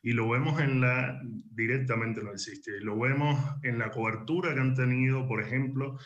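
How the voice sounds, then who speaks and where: A Cuban man speaks as an interview guest at a medium pitch. He is earnest and articulate, building his answers in explanatory phrases and restating openings to drive a point home. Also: fairly even intonation, moderate pace, a bit bright, muffled recording. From Cuba. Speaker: interview guest, exiled journalist and editor.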